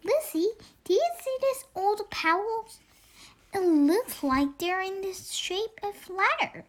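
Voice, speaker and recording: An excitable little owl pupil from a children's storybook, acted out by a young girl. The voice is high and swoops about, friendly and eager, exclaiming often but never rushing. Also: heavily acted. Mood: excited. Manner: exclaiming, animated.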